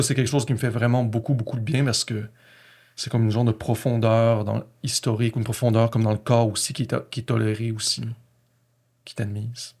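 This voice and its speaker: Québécois man with a fairly even delivery. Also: mid-range voice. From Quebec, Canada. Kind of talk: reflective personal conversation.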